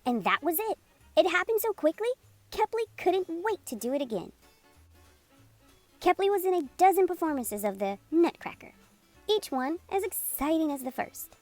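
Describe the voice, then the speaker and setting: Woman, high voice, very lively intonation, children's read-aloud narration, storytime host, music underneath. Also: dry recording. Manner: animated, unhurried storytelling for young children. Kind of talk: expressive picture-book read-aloud narration. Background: music playing under the voice.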